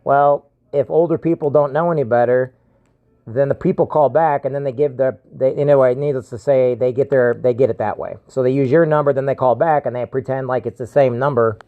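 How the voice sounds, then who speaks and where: A man's voice: medium pitch, steady tone, a little fast, phone-quality sound. An American man talking casually in a scam-warning video as an identity theft protection seller, insistent, plain-spoken and given to repeating himself. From United States. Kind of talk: casual warning talk to viewers and on phone.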